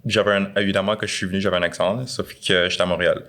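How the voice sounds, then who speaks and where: A young man with a Quebec French accent, talking casually and reflectively in a conversation, with many pauses and fillers. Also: low voice, level tone, fairly bright tone. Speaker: guest.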